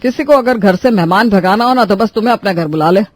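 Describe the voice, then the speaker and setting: A woman's voice: medium pitch, quick pace, dry recording, natural voice. A woman narrator plays an exasperated husband in a cartoon tale. He grumbles with sarcasm and sounds thoroughly fed up, in a dry recording. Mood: sarcastic grumbling. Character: exasperated, nagging husband fed up with stale food. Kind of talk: Hindi cartoon moral story dialogue.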